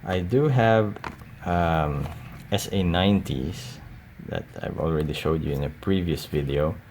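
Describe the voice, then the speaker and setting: A man with a very low voice and a lot of vocal fry talks very slowly through a casual show-and-tell. He sounds halting and unscripted, with some faint noise behind him. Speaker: collector showing cassette tapes. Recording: audible steady background noise.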